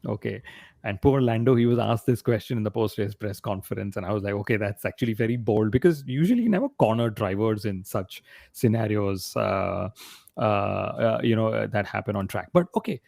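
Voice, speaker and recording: A man with a lowish, gravelly voice co-hosts a casual sports podcast. He talks conversationally and likes to pose questions as he makes his case. The sound is very dry, with no room in it. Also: fairly quick, darkish tone, dry recording. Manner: conversational, asks rhetorical questions. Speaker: podcast co-host. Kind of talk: casual motorsport discussion.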